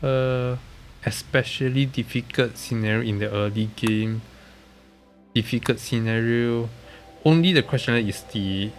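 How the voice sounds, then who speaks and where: A man with a nasal, congested voice from a recent illness, giving halting, casual commentary on a game live stream. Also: medium pitch, faint music behind. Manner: halting, hesitant, often trailing off. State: congested, recovering from being sick.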